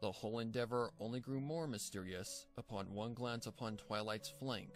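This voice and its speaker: Man, low voice, dramatic story narrator, measured theatrical delivery, background music. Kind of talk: dramatic scripted fan fiction narration. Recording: music bed behind the voice.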